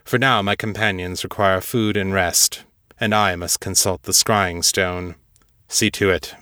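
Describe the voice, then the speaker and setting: A man's voice: low-pitched, steady tone, a little slow, pushed and bright, no room sound, clean studio sound. A man voicing a commanding fantasy lord in an audiobook, giving curt, formal orders. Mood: authoritative.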